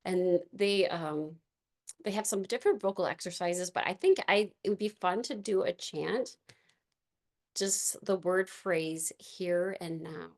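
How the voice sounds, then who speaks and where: A woman with a raspy voice leading a gentle movement and meditation class, giving soft, unhurried instructions with fillers. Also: on the low side.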